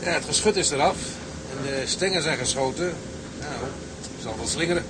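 An actor playing a down-to-earth boatswain in a radio drama, with a wry, blunt way of talking. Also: male voice, on the high side, expressive, brisk, background noise, natural voice. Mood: wry, brisk. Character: down-to-earth male boatswain, wry and matter-of-fact.

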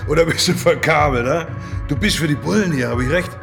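An actor playing a coarse, brusque brothel boss who mocks and threatens, in a radio crime drama. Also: male voice, on the high side, fairly quick, gravelly, over music. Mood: angry, threatening. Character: menacing, coarse brothel boss, middle-aged man.

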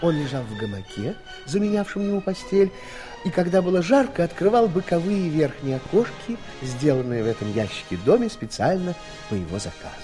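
A man's voice: on the high side, big pitch swings, a little fast, dark tone, faint music behind. A man giving scripted fairy-tale narration for an audio play.

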